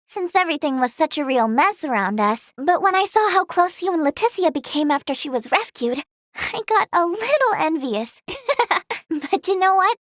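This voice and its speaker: A woman playing an eager, kind-hearted young female healer in a fantasy video game, earnest, expressive and girlish in manner. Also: very high-pitched, moderate pace, no room sound, clean studio sound. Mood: wistful, tender. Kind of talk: video game character acting.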